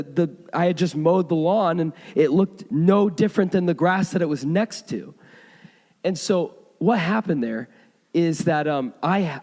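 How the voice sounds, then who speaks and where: A hoarse man preaching a sermon, telling stories in a conversational way with frequent restarts. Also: a little high, a little mellow. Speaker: pastor. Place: church sanctuary. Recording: long hall reverb.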